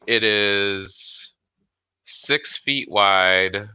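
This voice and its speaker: A man with a low but strikingly bright, hard-edged voice co-hosts a casual livestream. His talk is slangy and informal. The recording is dry, free of echo. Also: very slow, clean tone. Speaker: livestream co-host.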